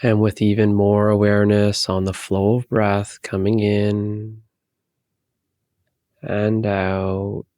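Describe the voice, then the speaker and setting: Male meditation guide: low, even delivery, soothing and very unhurried, close dry recording. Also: dry recording. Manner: calm, soothing, unhurried instruction with long pauses.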